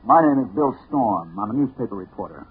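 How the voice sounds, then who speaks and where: A man's voice: medium pitch, expressive, brisk, lightly acted. An American man playing a wary, determined newspaper reporter in a 1950s radio drama, brooding and clipped. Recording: noisy, tinny 1950s radio recording.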